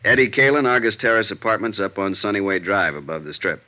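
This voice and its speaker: Very deep-voiced deadpan police sergeant. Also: male voice, fast. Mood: matter-of-fact. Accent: American. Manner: terse, deadpan case reporting. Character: gruff, matter-of-fact male police detective sergeant. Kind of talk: vintage radio detective drama acting. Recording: muffled vintage radio recording.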